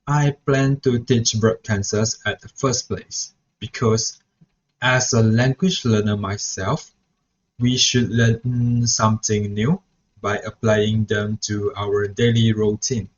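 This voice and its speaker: Slow, hesitant young man. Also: fairly low pitch. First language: Mandarin. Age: young adult. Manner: hesitant, frequent "so yeah" restarts. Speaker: student teacher. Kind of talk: reflection vlog. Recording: dull lo-fi recording.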